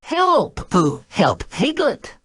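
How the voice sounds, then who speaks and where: A woman voicing a bossy, fussy male rabbit in a children's storybook, brisk and insistent. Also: fairly high pitch, wildly swooping pitch, a bit bright, a little hiss or hum, voice pushed up, heavily acted. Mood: panicked.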